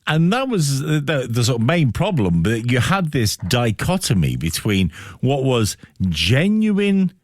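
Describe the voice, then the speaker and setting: A man hosting a thoughtful radio interview, setting out questions in measured, reflective phrases. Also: mid-range voice, big pitch swings, no room sound, clean studio sound.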